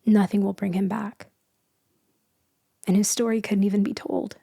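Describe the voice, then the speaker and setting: A woman playing a melancholy, lonely immortal vampire in soft, hushed storytelling ASMR that drifts into whispers. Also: mid-range voice, flat delivery, moderate pace, creaky, no echo. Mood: bitter, resigned. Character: melancholy immortal female vampire, lonely, tender, haunted by loss. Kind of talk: slow storytelling ASMR roleplay.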